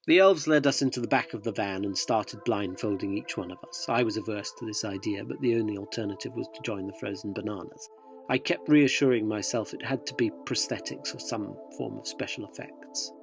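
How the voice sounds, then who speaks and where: A man's voice: on the low side, fairly quick, gravelly, faint music behind. An actor playing a sceptical, wry interviewer, narrating his surreal expedition in dry, wordy radio drama style. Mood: dry, disbelieving.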